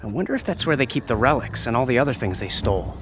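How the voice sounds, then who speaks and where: A man's voice: mid-range voice, sing-song, fast talker, music in the background, natural voice. A man playing an upbeat, curious young male sidekick in a video game, speaking casually and encouragingly. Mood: focused. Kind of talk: video game cutscene acting.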